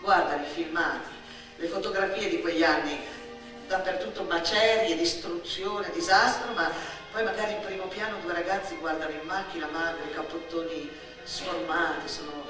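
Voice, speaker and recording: A woman's voice: lowish voice, steady tone, normal speed. A woman performing a theatrical radio monologue, telling her story expressively with emphatic repetitions and lists. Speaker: actress, solo storyteller. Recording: echoey stage sound, distant, music behind.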